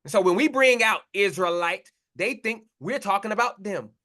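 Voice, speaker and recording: A man's voice: sits high, animated, normal speed, bright, forceful tone. A man preaching a confrontational sermon, blunt and mocking, in slangy talk to his listeners.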